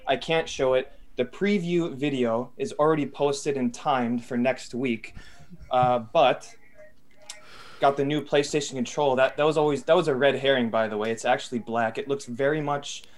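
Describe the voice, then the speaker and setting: A man with an ordinary middle pitch chats on a group call. He jokes with a straight face, playing his bits mock-seriously for his friends. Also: normal speed. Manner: jokey, deadpan mock-serious. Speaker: podcast co-host. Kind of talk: casual group chat. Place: home, far from family.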